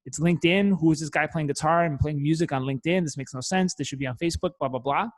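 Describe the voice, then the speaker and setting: A man's voice: on the high side, quick pace. A young man in casual chat, talking loosely with frequent swearing, fillers and restarts. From Italian family, parents from Naples. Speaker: guest marketer.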